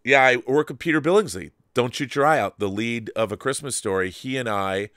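A man's voice: mid-range voice, a little fast, fairly bright tone, dry recording. An older man hosting an interview and talking reflectively in a home studio, using a large studio mic. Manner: reflective, rambling. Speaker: host. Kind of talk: conversational interview. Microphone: large studio mic with headphones.

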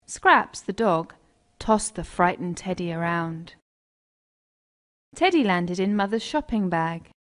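A woman with an American accent narrating a scripted children's story. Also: medium pitch.

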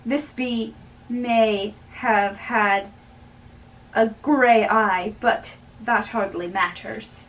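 A young woman giving an amateur dramatic reading of a play, halting and stumbling over words, recorded by a distant camera in a bedroom. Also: fairly high pitch, steady tone, a bit bright, a little hiss or hum. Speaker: amateur reader. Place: small bedroom, indoors. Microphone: far camera. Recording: distant microphone in a room.

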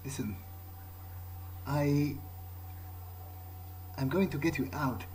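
A man's voice: fairly high pitch, takes it slowly, darkish tone, slight background noise. A man doing in-character roleplay commentary over a video game, thinking aloud and often repeating words hesitantly. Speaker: gaming video player.

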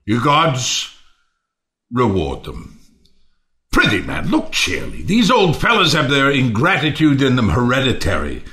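Man as generous proud lord, Shakespeare audio play, bright forceful voice, slow delivery, hugely varied pitch. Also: wildly swooping pitch, heavily acted. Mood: weary, reassuring. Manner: theatrical, swelling declamation with sudden hushed drops. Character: Timon, generous Athenian lord, proud and naively trusting of friends.

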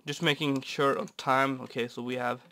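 A young man from Norway, raspy and stuffy from a cold, rambling easily with many fillers while commenting on a building game. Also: medium pitch, fairly even intonation, normal speed. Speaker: Minecraft builder. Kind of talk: casual gameplay commentary. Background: soft guitar music.

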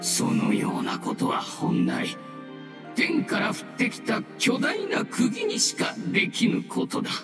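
An actor playing an aged, dying mushroom spirit in a game, solemn and humble, with grave, halting, old-fashioned elder speech. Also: male voice, extremely high pitch, full character voice. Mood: weary, resigned. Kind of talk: scripted game dialogue. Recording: roomy echo, distant, under loud music.

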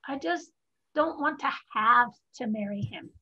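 A woman's voice: a little high, lively intonation, unhurried, pushed and bright, husky, exaggerated voice. A woman playing a headstrong, free-spirited young female student in a staged play reading over a video call. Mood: reluctant. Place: home room on a group video call. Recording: dull, muffled video-call audio.

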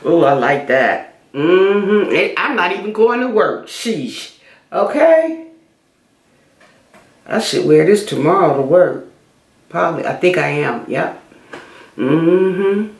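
A woman's voice: lowish voice, expressive, slow, gravelly, pauses often, a little mellow, echoey room. A middle-aged woman chatting casually while doing her makeup for a beauty vlog, repeating herself as she goes. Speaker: beauty vlogger. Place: small room indoors.

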